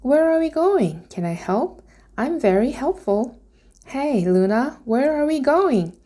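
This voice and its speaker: A woman's voice: sits high, sing-song, slow pace, mellow, pitched above the performer's own voice, exaggerated voice. A woman voicing a small young male dragon, chatty, needy and eager, in a chirpy, pleading manner for a storybook.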